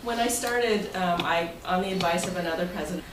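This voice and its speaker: A woman's voice: fairly low pitch, fairly quick. American woman addressing a meeting, echoey and distant. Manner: jokey, breaking into chuckles. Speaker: writers' club president and meeting host. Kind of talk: informal speech to a club meeting, part read from prepared text. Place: indoor club meeting room. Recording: echoey, noisy room, distant mic.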